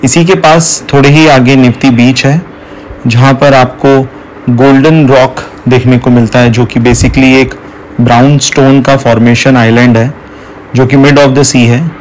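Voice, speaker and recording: A man's voice: mid-range voice, steady tone, unhurried, slight background noise, poor audio. A man narrating a travel guide to sights along a coast, explaining each spot and mixing in English words.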